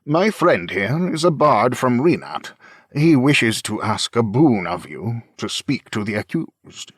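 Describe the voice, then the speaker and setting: Man playing a polite, earnest monk, animated voice. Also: mid-range voice, slightly rough, no echo, clean studio sound. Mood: resigned, pleading. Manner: measured, formal, conciliatory. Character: polite, earnest male monk, mild pleader. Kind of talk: fantasy audiobook character acting.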